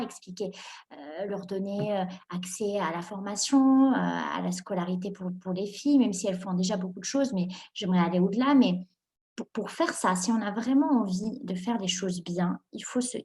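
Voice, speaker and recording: A young woman, born and raised in Morocco, answering interview questions in an animated way, laughing often and restarting her sentences with many fillers. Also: mid-range voice. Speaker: guest entrepreneur.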